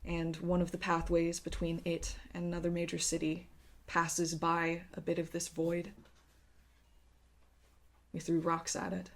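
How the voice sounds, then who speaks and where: A woman acts out a guarded man in a tabletop roleplaying game. The voice is on the low side, quiet and measured. He sounds serious and resigned, weighing what he says. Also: completely flat delivery.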